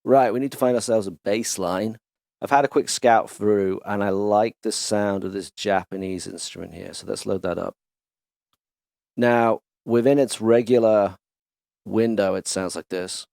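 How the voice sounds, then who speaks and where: A man hosting a casual music production tutorial, chatty and enthusiastic as he walks through software instruments in his home studio. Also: fairly low pitch, unhurried, creaky, no echo. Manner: relaxed, chatty, enthusiastic demonstrator. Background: instrument demo music.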